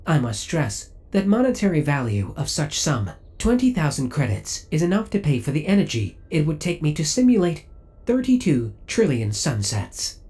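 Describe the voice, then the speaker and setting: A man's voice: a little high, wildly swooping pitch, moderate pace, darkish tone. A man playing a possessive, coolly obsessive male spaceship AI in an ASMR audio roleplay, speaking with formal, precise, robotic politeness. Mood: formal, disapproving.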